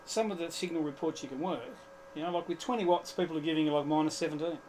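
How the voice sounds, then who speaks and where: A man with an Australian accent chatting casually as a guest, explaining his hobby in a halting, colloquial way. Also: a little high, a little mellow, slight background noise, poor audio. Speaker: guest, amateur radio operator. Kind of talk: casual chat. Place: club radio studio.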